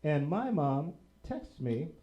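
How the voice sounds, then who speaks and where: Male stand-up comic, self-deprecating, lively pitch, live club recording with background noise. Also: takes it slowly, muffled recording. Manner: self-deprecating, lively delivery with drops into low deadpan for punchlines. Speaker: stand-up comedian. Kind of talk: stand-up comedy set. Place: small comedy theater stage. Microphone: handheld microphone. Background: audience laughter.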